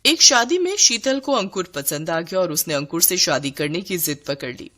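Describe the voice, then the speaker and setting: A woman's voice: fairly low pitch, animated, normal speed, fairly bright tone. A woman narrator reading a scripted story.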